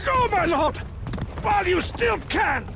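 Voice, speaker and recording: An actor playing a loyal, self-sacrificing old warrior in a video game, bellowing archaic commands with great strain. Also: male voice, very high voice, big pitch swings, unhurried, creaky, background noise, heavily acted. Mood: desperate, commanding. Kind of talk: dramatic video game battle acting.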